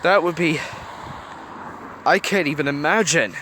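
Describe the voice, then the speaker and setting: Male reader doing a shrill, jittery little emotion character, bright and frantic. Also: a little slow, slight background noise. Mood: horrified. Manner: shrill and loud, panicking.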